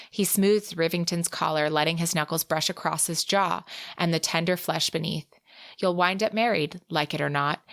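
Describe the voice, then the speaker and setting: A woman's voice: on the low side, sharp tone. A woman co-hosting a podcast, recapping and discussing a book in an enthusiastic, chatty, casual way. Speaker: podcast co-host.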